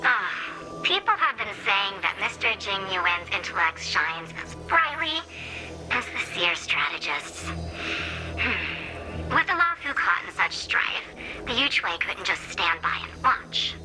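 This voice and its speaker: Game seer-general: poised, sly, playful politeness, music behind. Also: female voice, medium pitch, sing-song, slow, low-quality recording. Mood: teasing, knowing. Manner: playful, polished, knowing. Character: poised, teasing seer strategist, a female general. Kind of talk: scripted game cutscene acting.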